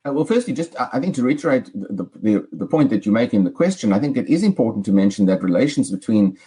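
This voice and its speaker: A man with a South African accent giving analytical interview commentary in a measured, hesitant way. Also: lowish voice, lively intonation, mellow, breathy edge. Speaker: political and economic analyst.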